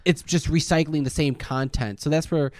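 A man chatting casually as a podcast co-host, rambling in an animated way and acting out quotes. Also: fairly high pitch, normal speed. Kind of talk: casual chat.

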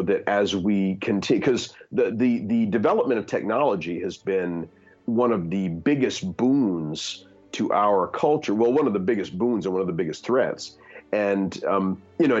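A man's voice: lowish voice, expressive, normal speed, a little mellow, husky, muffled recording, soft background music. A man in his fifties giving candid opinions in conversation, earnest, with many fillers and restarts. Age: 50s. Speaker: guest.

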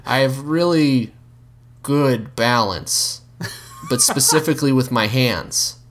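A man's voice: mid-range voice, slow, noisy background, pitched above the performer's own voice, lightly acted. A man voicing a nervous young male waiter who stammers and hesitates. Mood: flustered.

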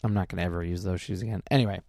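A man co-hosting a podcast in casual chat, relaxed, teasing and joking. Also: low voice, rapid-fire, gravelly, no echo, studio quality. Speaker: podcast co-host.

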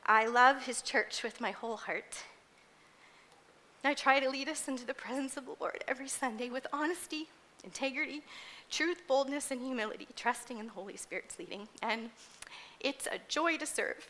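Bright, higher-voiced woman giving an informal sermon. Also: moderate pace, a little hiss or hum. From grew up in Indonesia as a missionary kid, later moved to Canada. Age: 40s. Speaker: church music ministry leader giving a sermon. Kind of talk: personal, informal sermon to a congregation.

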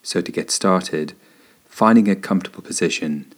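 A man guiding a body scan meditation in a gentle, soothing, unhurried way, pausing between phrases. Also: sits low, slightly rough. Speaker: meditation guide.

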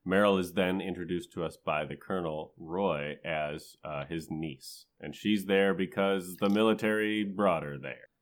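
A man's voice: low-pitched, a little slow, few pauses. A man speaking as a podcast co-host in a casual, conversational way. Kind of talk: casual chat.

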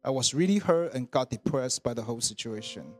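A man, reading his personal testimony at church in an earnest, measured way. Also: medium pitch, expressive, faint music behind. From Taiwan. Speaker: church member giving testimony.

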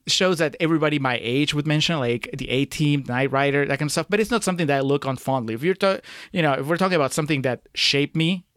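A man's voice: on the high side, quick pace, fairly bright tone, dry recording, clean studio sound. A middle-aged man from Peru chatting casually as a podcast guest, reminiscing in wandering, filler-laden sentences.

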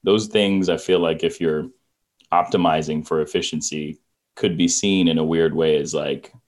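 A man in his twenties, a guest on a podcast, talking in a relaxed, reflective way with many "like"s. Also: very deep voice, moderate pace. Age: 20s. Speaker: guest, visual effects artist. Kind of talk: casual interview chat.

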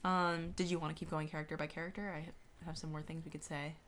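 Slightly low-voiced woman, casual podcast co-host. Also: little pitch movement, quick pace, a bit bright, a little hiss or hum. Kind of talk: casual book discussion.

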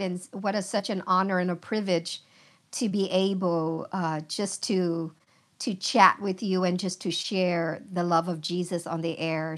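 A middle-aged woman from Vietnam, a guest on a podcast, sharing her testimony in slow, halting, earnest speech full of pauses. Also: fairly low pitch.

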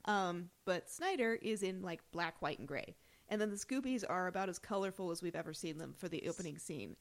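A woman's voice: fairly low pitch, expressive, a little fast. A woman co-hosting a podcast, giving casual, jokey and emphatic fan commentary. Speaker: podcast co-host.

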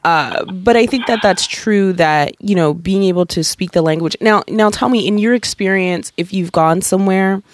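A podcast host chatting casually, lively and talkative, swearing casually and acting out quotes. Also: medium pitch, normal speed, hardly any pauses, no room sound. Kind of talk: casual interview chat.

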